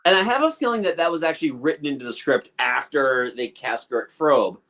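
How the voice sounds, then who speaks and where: A man's voice: on the high side, animated, brisk, a bit bright. A man co-hosting a podcast, chatting casually about a film and riffing with plenty of fillers and opinions. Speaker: podcast co-host. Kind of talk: casual film review chat.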